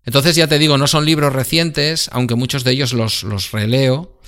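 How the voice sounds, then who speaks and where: A middle-aged man talks in a relaxed, conversational way, often pausing on fillers and restarting his sentences. His voice sounds smooth and resonant, with a medium pitch. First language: Spanish. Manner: hesitant, many fillers and restarts. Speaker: guest. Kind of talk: casual conversation about books.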